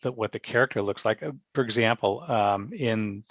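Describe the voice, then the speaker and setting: A man with a gravelly edge to his mid-pitched voice talks as an interview guest, explaining his approach in a conversational way. He circles back to rephrase his points. The sound is dry and slightly dull. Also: normal speed, no room sound. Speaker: radio theatre director, podcast guest.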